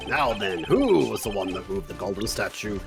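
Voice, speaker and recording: A man voicing a pompous, befuddled older judge in a live comedic dub of a courtroom game, with formal, deliberate questions. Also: fairly low pitch, big pitch swings, vocal fry. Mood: solemn, puzzled. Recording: music behind the voice.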